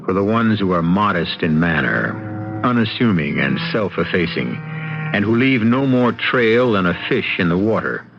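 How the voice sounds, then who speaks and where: A man hosting and narrating an old-time radio mystery in a measured, ominous, dramatic manner. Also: low voice, sing-song, vocal fry. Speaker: host and narrator. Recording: muffled vintage radio broadcast, music behind.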